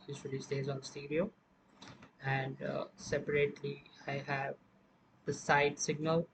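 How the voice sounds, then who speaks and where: A man presenting an informal music production software tutorial, explaining step by step in a halting way. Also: fairly high pitch, little pitch movement, vocal fry, background noise.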